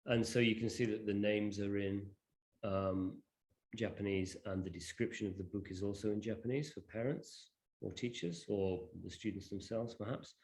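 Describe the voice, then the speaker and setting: Low-voiced man giving a software demo. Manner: halting, hesitant delivery. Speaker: presenter demonstrating educational software. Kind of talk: informal live software demo.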